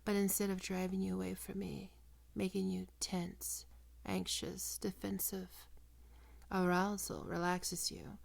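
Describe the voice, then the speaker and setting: A woman playing a possessive, predatory female vampire in an ASMR roleplay, coaxing and cooing in a breathy voice that often drops into whispers. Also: mid-range voice, completely flat delivery. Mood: seductive, coaxing. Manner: slow, cooing, condescending endearments.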